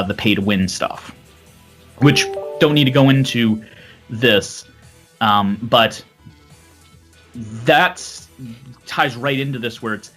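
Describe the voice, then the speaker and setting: A man speaking on a home microphone during a casual gaming podcast panel. He rambles through his points with restarts and sometimes loses his thread. Faint music plays behind him. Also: medium pitch.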